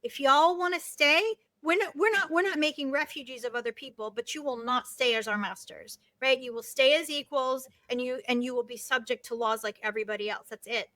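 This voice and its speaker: High-voiced woman guest, intense and emphatic, dry close mic, from palestine. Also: a little fast, few pauses, no room sound. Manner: forceful, emphatic, repeats words for emphasis. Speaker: guest, novelist and activist. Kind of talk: impassioned interview talk.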